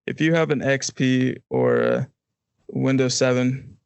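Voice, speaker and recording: A man's voice: mid-range voice, wildly swooping pitch, unhurried, gravelly, clear, resonant tone, dry recording, lo-fi sound. A man co-hosting a podcast, chatting casually in a joking, emphatic and informal way. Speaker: podcast co-host. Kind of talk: casual chat.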